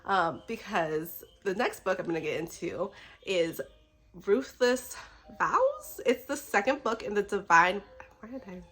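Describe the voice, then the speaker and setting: A woman speaks as a book vlogger in a casual, chatty style, sounding slightly sick. Her delivery is effusive and rambling with a giggly streak, and her pitch sits in the middle range for a woman. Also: big pitch swings, unhurried, fairly bright tone.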